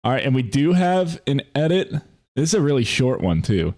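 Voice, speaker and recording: A man's voice: medium pitch, very animated, moderate pace, a little mellow. A man in his twenties co-hosting a podcast, talking in a casual, slangy way with some swearing. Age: 20s. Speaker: podcast co-host. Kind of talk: casual podcast chat with friends.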